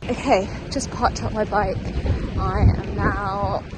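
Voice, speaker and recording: A woman's voice: medium pitch, fairly even intonation, slow, low-quality recording. A woman in her twenties with an English accent, chatting informally and self-deprecatingly in a casual day-in-the-life vlog. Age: 20s. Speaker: vlogger, student actuary. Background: music.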